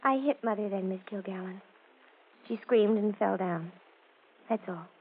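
A woman's voice: high-pitched, brisk. A woman playing a lovestruck, overwrought seventeen-year-old girl in an old-time radio drama, with a girlish, earnest delivery. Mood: cold. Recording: tinny narrow-band old radio recording.

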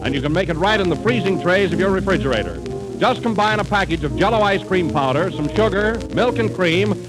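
Male American announcer, high-pitched, scripted vintage radio commercial, music behind, old broadcast recording. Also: lively intonation, a little fast, rough recording. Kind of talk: scripted 1930s radio advertising.

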